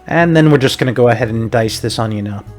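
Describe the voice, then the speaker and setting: A man's voice: mid-range voice, fast talker, faint music behind. Chatty young man hosting a cooking vlog. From Puerto Rico. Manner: upbeat, chatty, step-by-step instructions. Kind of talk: casual recipe walkthrough.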